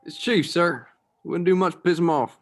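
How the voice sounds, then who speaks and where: A man's voice: on the high side, moderate pace, lightly acted. A man playing a wry, needling army sergeant in a screenplay table read, with informal military talk. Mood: respectful.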